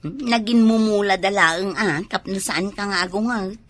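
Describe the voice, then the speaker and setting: A woman's voice: medium pitch, normal speed, fairly bright tone, close to an everyday voice. A woman with a Filipino Ilocano accent playing a teasing, gossipy village wife in an amateur comedy drama.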